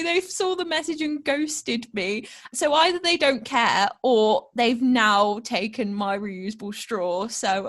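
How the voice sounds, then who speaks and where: A woman co-hosting a podcast, telling stories in a giggly, animated way and acting out her own lines. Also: sits high. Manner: giggly, animated storyteller who acts out voices. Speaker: podcast co-host. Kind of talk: casual chat, storytelling.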